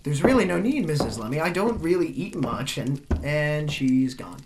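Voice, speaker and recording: A woman's voice: sits low, very animated, normal speed, background noise. A woman playing a polite, flustered young man visiting a village in a comic audio drama. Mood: curious, hesitant. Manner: polite, hesitant, increasingly exasperated.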